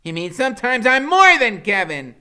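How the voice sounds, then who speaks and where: Fierce tiny boy shouting orders at full volume, high and bright. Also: big pitch swings, normal speed, clean tone, full character voice. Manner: booming, commanding.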